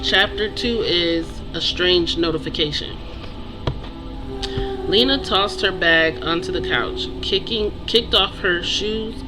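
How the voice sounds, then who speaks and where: An author presenting their own book in a nervous, halting voice with small stumbles and self-corrections. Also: mid-range voice, poor audio. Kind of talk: read-aloud fiction, then casual promotion. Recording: music playing behind voice. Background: music.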